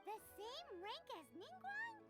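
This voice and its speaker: Super high squeaky little fairy girl, swoops all over, chirpy game sidekick, music behind. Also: huge pitch swings, a little slow, pushed and bright, full character voice. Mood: astonished. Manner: exclamatory, teasing, inquisitive. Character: tiny cheerful childlike fairy companion, curious and chirpy. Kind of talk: video game character acting.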